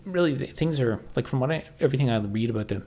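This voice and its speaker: A man with a Canadian accent chatting casually on a livestream, answering viewers and thinking aloud in halting, restarting sentences. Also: medium pitch, expressive, mellow.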